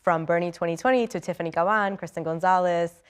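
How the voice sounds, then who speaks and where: Earnest low-voiced woman from Ecuador. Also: steady tone, fast, clear, resonant tone. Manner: earnest, eager, many fillers and restarts. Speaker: state assemblymember, interview guest. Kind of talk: live TV news interview.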